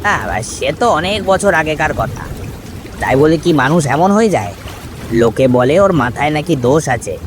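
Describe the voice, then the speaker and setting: A man's voice: fairly high pitch, expressive, moderate pace, natural voice. A man playing a superstitious, uneasy villager who shares rumours in a confiding, colloquial way in an audio story. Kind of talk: audio story dialogue acting. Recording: clear rain and water noise.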